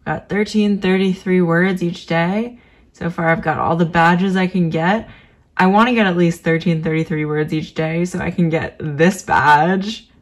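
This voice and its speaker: A woman's voice: on the low side, fairly even intonation, measured pace, far from the mic. A young adult woman talking in an informal, self-deprecating way, as in a casual personal vlog. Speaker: novelist vlogger. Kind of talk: casual vlog chat to camera. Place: small home room with desk.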